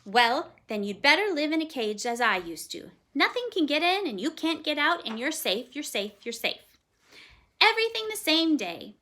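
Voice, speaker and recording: A woman's voice: sits high, very animated, moderate pace, pushed and bright, slight background noise, pitched above the performer's own voice, exaggerated voice. A woman voicing a bossy talking green parrot who scolds sharply and repeats the ends of her phrases two or three times. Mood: lecturing. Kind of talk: storybook read-aloud.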